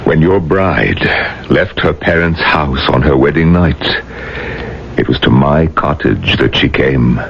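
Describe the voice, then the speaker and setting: An actor playing a grave, scholarly village rabbi in a 1970s radio drama, speaking in a solemn, hesitant manner. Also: male voice, very deep voice, takes it slowly, vocal fry, exaggerated voice. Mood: solemn, sorrowful. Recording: muffled, hissy 1970s radio broadcast.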